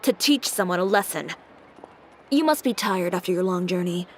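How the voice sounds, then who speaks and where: A woman's voice: fairly low pitch, animated, moderate pace, very noisy, natural voice. A woman voicing a proud, composed fashion designer with a fiery temper in a video game, talking in a dry, pointed way. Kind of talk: game voice acting. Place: recording studio. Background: game music.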